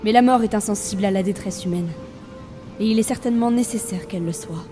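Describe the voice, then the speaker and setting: A woman voices Death, a world-weary reaper in an audio fiction. The voice is mellow and mid-pitched, grave and theatrical in its measured delivery. Soft music sits behind it. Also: close to an everyday voice. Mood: brooding, torn. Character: weary Death, a soul-gathering reaper burdened by the role. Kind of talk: narrated audio fiction, dramatic first-person monologue.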